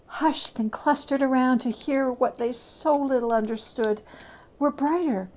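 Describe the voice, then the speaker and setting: A woman giving a measured, expressive dramatic reading of a classic story as a storyteller. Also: sits high, low-quality recording.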